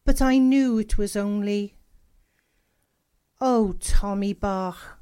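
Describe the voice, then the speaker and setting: A woman's voice: medium pitch, slow, dark tone, clean tone, lightly acted. A woman playing a lonely, unmarried woman in mourning, reminiscing wistfully in a radio play monologue. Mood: wistful, mournful.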